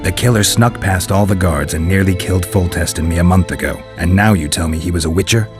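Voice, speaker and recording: An actor playing a weary, sardonic warrior in a video game, with a gravelly voice and a dry, laconic manner. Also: male voice, low-pitched, fairly quick, over music, natural voice. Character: weary, sardonic monster-hunting warrior, gruff adult man. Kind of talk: video game cutscene acting.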